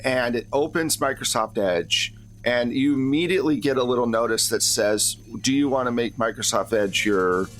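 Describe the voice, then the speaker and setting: A man's voice: mid-range voice, normal speed, a bit bright, soft background music. A man narrating a tabletop roleplaying game as game master in a casual, conversational way.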